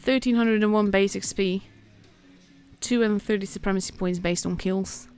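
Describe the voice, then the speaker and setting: Female esports streamer, Dutch accent, medium pitch, informal play-by-play with a chatty manner, music underneath. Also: steady tone, normal speed, darkish tone, clear, resonant tone. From Netherlands. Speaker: esports commentator and stream host. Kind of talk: casual live game commentary.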